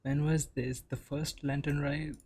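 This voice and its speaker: Very deep-voiced woman reacting casually. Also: flat delivery, unhurried, background noise. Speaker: game reaction streamer. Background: game audio and music.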